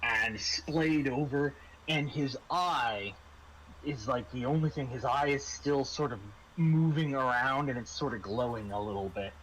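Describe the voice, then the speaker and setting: A man working as game master, improvising horror narration in a halting, conversational way. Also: mid-range voice, a bit bright, a little hiss or hum, low-quality recording.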